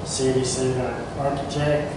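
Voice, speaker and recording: A man's voice: medium pitch, little pitch movement, measured pace. A man chairing a council meeting, working through an agenda in terse items. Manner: terse, item-by-item delivery. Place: small council meeting room, indoors. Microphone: far camera microphone. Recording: distant mic, echoey room, clearly noisy.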